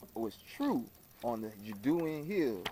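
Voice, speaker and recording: A man reads aloud from an old book at an unhurried, slow pace. His voice is middling in pitch and mellow in tone. The delivery is halting, with stumbles and repeated phrases as he works through the text. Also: sing-song, slight background noise. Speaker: reader.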